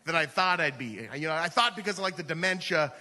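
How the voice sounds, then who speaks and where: A man's voice: fairly high pitch, animated, quick pace, bright, forceful tone. An American man doing comedic personal storytelling on stage, chatty and full of "like" and "you know.". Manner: conversational, peppered with "like" and "you know". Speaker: stand-up comedian. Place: theater stage at a live radio show. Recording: live stage in a reverberant hall.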